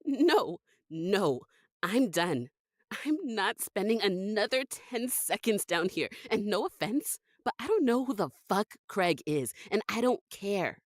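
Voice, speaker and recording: Woman playing defiant grieving young woman, blunt, insistent, horror audio drama, mid-range pitch, very dry close sound. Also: lively intonation, a little slow, no echo. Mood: upset, defiant.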